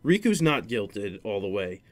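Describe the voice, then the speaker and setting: A man's voice: lowish voice, very animated, a little slow. A man hosting a casual gaming podcast, explaining things in a rambling, hesitant way and often correcting himself. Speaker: podcast host.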